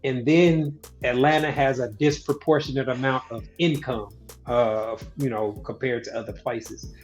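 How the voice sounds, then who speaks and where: A man's voice: mid-range voice, fairly even intonation, moderate pace, darkish tone, soft background music. Hesitant male podcast interviewer. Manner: hesitant, many "uh" fillers and restarts. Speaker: podcast host.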